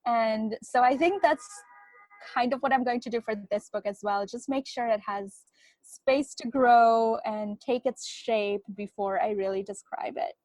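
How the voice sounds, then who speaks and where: A woman whose first language is Bengali speaks as a guest author, thoughtful and measured, often slowing down as she reflects. Her voice sits a little high for a woman. The sound is dry and close, with no room around it. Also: darkish tone, no echo. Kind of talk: thoughtful interview answers.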